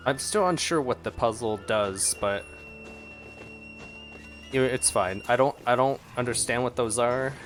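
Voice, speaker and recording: Male game streamer, mid-pitched, casual and exclamatory, thinking out loud over soft music. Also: unhurried, long pauses. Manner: musing aloud, exclamatory. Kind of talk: casual gameplay reaction commentary. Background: faint game music.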